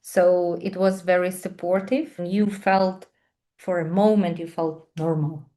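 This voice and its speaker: A woman in her thirties from Ukraine with a Ukrainian accent, answering interview questions on a video call in thoughtful, halting English with many pauses and fillers. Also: lowish voice, fairly even intonation, dark tone. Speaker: guest, English teacher and patient advocate.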